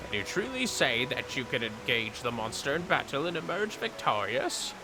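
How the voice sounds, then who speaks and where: A man's voice: medium pitch, big pitch swings, normal speed, background noise, voice pushed up, close to an everyday voice. A man voicing a grave, anguished warrior mentor from a game, with solemn, theatrical, archaic oratory. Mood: solemn challenge. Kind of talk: dramatic reading of game dialogue.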